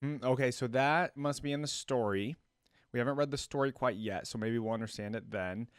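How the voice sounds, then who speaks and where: A man reacting casually to game voicelines, talking like a relaxed video host. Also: medium pitch, fairly quick, smooth, dry recording, clean studio sound.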